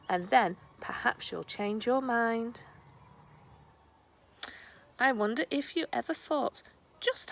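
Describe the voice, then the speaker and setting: A woman reading a rhyming children's storybook aloud in a deliberate storytelling manner. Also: sits high, creaky, a bit bright. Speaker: storybook reader. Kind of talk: scripted children's rhyme read aloud.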